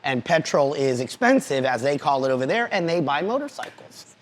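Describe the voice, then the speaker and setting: A man's voice: a little high, very animated, a little fast, slight background noise. A man hosting a motorcycle talk show, asking enthusiastic questions and often repeating himself as he goes. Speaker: podcast host. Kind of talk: casual interview hosting. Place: cigar club lounge.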